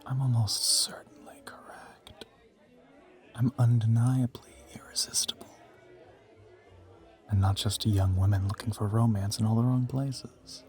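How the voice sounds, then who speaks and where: A man's voice: steady tone, a little slow, a little mellow, faint music behind, lightly acted. A man playing an ancient, suave male vampire in an intimate audio roleplay, teasing and smug, with formal, theatrical phrasing. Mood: seductive teasing. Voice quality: low, breathy half-whisper.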